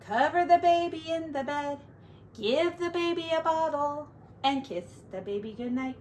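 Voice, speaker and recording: A woman teacher doing an expressive read-aloud and sing-song play for young children, drawing out her words. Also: high voice, a little hiss or hum. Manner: expressive, drawn-out words, hushed asides.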